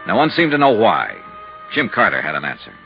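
An actor playing a hard-boiled, weary insurance investigator in a 1950s radio detective drama. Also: male voice, lowish voice, huge pitch swings, fairly quick, gravelly, over music, full character voice. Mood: matter-of-fact narration. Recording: muffled 1950s radio recording.

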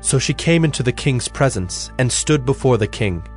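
Male narrator, mid-pitched, measured storytelling for scripture drama, prominent score underneath. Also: expressive. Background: prominent music.

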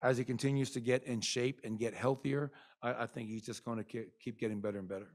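Middle-aged male coach, postgame presser, candid and rambling, mid-pitched voice, desk mic in an arena press room. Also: fairly even intonation, fast. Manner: candid, rambling with many fillers. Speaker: basketball head coach. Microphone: desk mic in front.

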